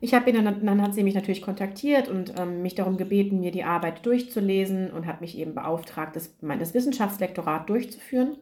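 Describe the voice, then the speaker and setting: A woman hosting a solo podcast as an academic writing coach, chatting casually with many fillers, her throat slightly hoarse. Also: medium pitch, a little fast, few pauses, warm tone.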